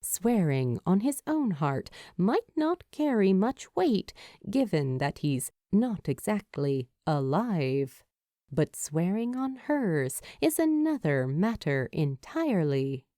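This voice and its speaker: A woman giving expressive, dramatic scripted narration as an audiobook reader of fan fiction. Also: fairly low pitch, slow pace, no room sound, studio quality.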